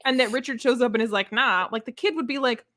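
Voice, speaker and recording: A woman with a somewhat high-pitched voice talks casually, as in a book club. She is sardonic and exasperated, and slips into mock dialogue to make her points. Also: fast, pushed and bright. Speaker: book discussion co-host.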